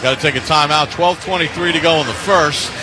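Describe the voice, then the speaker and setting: A man's voice: a little high, quick pace. A man calling live football on the radio in emphatic, clipped phrases. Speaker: radio play-by-play football announcer. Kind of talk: live sports commentary. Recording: noisy live stadium radio broadcast. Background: loud stadium ambience.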